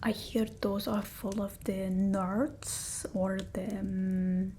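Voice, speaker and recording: Casting: haughty female vampire played by a woman for whispered ASMR roleplay, slow and fussy, mellow tone. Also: mid-range voice, flat delivery, slight background noise, lightly acted. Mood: haughty, instructing. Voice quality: breathy whisper, soft voiced in places. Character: haughty fussy female vampire mistress instructing her familiar. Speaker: ASMR creator.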